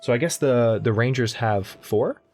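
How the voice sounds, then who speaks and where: A man's voice: medium pitch, normal speed, a little mellow. A young man casually commenting on a strategy game as he plays, thinking aloud and often correcting himself. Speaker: let's play host. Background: faint game music.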